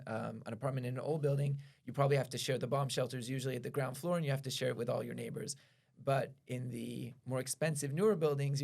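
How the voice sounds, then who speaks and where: A man from Israel, a guest on a podcast, explaining things at length in a measured way, pausing and correcting himself as he goes. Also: mid-range voice, vocal fry, darkish tone. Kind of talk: explanatory conversation.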